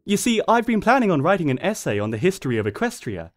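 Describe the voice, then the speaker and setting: A man's voice: sits high, big pitch swings, pitched above the performer's own voice. A man doing an eager, rambling young pony scholar. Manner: rapid, droning lecture tone. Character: bookish young female pony scholar, eager and long-winded.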